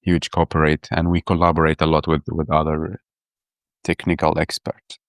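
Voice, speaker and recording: Deep-voiced guy in his 30s, eager, keeps restarting, dry close sound. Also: completely flat delivery, moderate pace, dry recording. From Iraq. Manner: enthusiastic, many restarts and repeated words. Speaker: podcast guest, urban planner. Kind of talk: casual interview talk.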